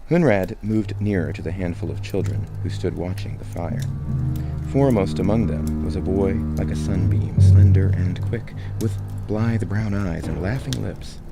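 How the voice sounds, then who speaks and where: A man reads a story as narrator of an audio drama. His voice is deep and creaky, the telling measured and dramatic, with loud music underneath.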